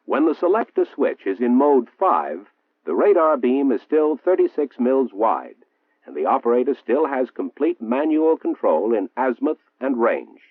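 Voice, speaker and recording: An American man reading a 1960s military training film narration in a formal, measured, precisely enunciated manner. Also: mid-range voice, sing-song, husky. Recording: muffled, roomy 1960s film soundtrack, phone-like.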